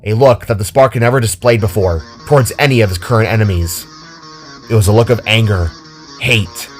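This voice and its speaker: A man reading scripted fiction as a dramatic story narrator. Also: lowish voice.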